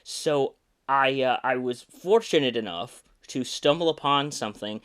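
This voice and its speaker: Smooth-voiced young man hosting a podcast. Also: mid-range voice, a little slow. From Alabama. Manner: relaxed, rambling, many fillers and restarts. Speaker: podcast host, puppeteer. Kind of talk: casual recorded monologue.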